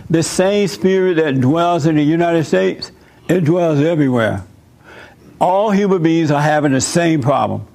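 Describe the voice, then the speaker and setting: A man's voice: on the high side, a little mellow. A man preaching and chatting with visitors, emphatic and repeating his phrases.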